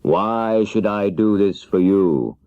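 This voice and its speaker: A man playing a great storybook wizard, stern and commanding. He draws out his words very slowly and deliberately, on a slightly muffled, dry old cassette. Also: fairly low pitch, warm tone, clear, resonant tone, no room sound. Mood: questioning, imposing. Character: stern, bargaining great wizard, a giant head. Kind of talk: children's audiobook character voice. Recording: dull 1970s cassette audiobook.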